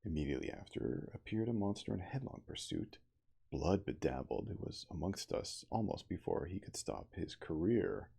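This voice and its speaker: A man reading a story aloud in a hushed ASMR style, with casual asides. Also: deep voice, animated, moderate pace, vocal fry, slightly rough. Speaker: reader, livestreamer.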